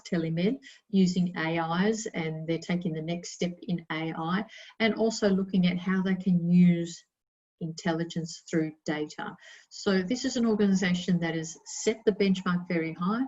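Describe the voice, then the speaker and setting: A woman's voice: lowish voice, darkish tone, highs cut off. A woman whose first language is Italian, giving an emphatic, chatty webinar presentation with fillers. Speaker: innovation presenter.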